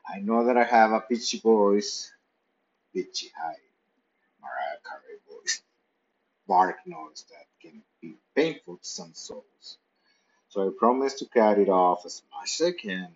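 A man's voice: on the low side, sing-song, unhurried, gravelly, lo-fi sound. A man narrating a playful, rambling story in the first person from his pet's point of view. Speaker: pet owner narrating.

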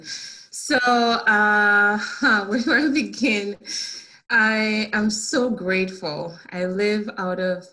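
Woman, Jamaican accent, middle-aged, low-pitched, earnest testimony. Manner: earnest, laughs softly, many ums. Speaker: guest. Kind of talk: personal testimony in an interview.

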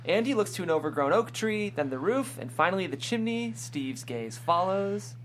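A woman's voice: medium pitch, animated, moderate pace, slight background noise. A woman reading stage directions as narrator at a live script table read.

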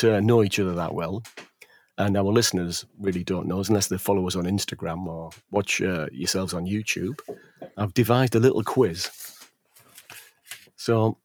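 Guy hosting a chatty podcast, kinda low voice, lots of ums and uhs. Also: moderate pace, husky, no echo. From England. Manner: relaxed, hesitant, with frequent fillers. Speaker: podcast host. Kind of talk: casual chat. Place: at home near some furniture.

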